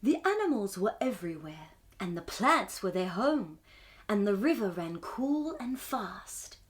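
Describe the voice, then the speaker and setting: A woman voicing a wise, gentle old male owl in a calm, hushed, wistful storybook manner. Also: medium pitch, unhurried, voice pushed down.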